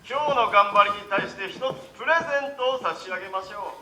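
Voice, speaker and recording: An echoey, distant recording presents a stage-villain assassin. His high, piercingly bright voice stays courteous and measured, full of cool menace. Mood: polite menace. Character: coolly polite, menacing male hired killer. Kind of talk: theatrical villain acting. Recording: echoey stage, distant microphone, faint noise.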